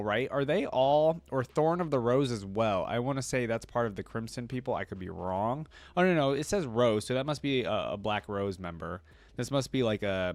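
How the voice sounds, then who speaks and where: A man hosting a reaction video, chatting casually and thinking out loud with frequent restarts. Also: mid-range voice, no room sound. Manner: rambling, thinks aloud with restarts.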